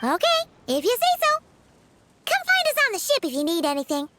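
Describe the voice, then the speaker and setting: A woman voicing a tiny, perky, caring fairy companion in a video game, with a squeaky childlike voice and chirpy manner. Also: huge pitch swings, fairly quick, very noisy, heavily acted. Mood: concerned, friendly. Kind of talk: English video game voice acting.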